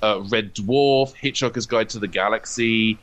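A man's voice: fairly low pitch, a little slow, fairly bright tone. A British man from the UK talking casually as an interview guest, explaining his writing in a self-deprecating way. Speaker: guest, comedy writer.

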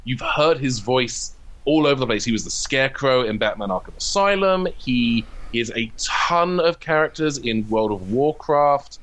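A man's voice: medium pitch, animated, normal speed, a bit bright. A man talking casually as an interview guest about his own creative work, chatty and wry, wandering into asides. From from abroad, immigrated in 2007. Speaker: interviewed comedy writer and audio drama creator.

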